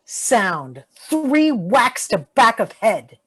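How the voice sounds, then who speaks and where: A woman's voice: fairly high pitch, sing-song, extremely slow, fairly bright tone, breathy edge. A woman reading out sound effect cues as stage directions in a radio play.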